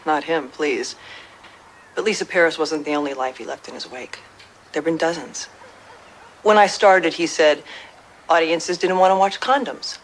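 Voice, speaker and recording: A woman's voice: deep voice, measured pace, long pauses, a little hiss or hum. A woman playing a blunt, defiant retired producer in her fifties in an audio drama, with a sardonic, bitter manner. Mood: bitter, weary.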